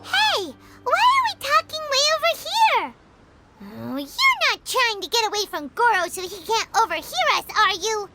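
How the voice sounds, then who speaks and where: A woman voicing a tiny, chirpy, excitable girl companion in a video game, with a very high, squeaky, bouncy voice. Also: normal speed, full character voice. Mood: excited, curious. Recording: game audio with loud music.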